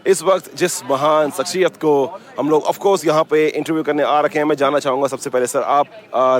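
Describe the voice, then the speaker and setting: A man hosting live event coverage for radio, mixing in English, with hesitant, effusive presenter patter. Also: a little high. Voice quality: mixes English phrases into Hindi. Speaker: radio station host and interviewer at an expo. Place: busy expo grounds.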